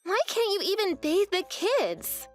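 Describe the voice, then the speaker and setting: A brisk, bossy sister-in-law, as a woman narrator puts her on for a manga dub. She lectures in a high, lively voice. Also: soft background music, exaggerated voice. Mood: scolding.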